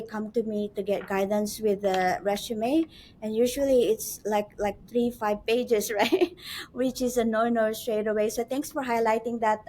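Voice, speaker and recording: A woman's voice: fairly high pitch, darkish tone. Chatty, encouraging woman hosting. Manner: chatty, encouraging, many fillers and asides. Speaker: host. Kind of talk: hosting an online webinar.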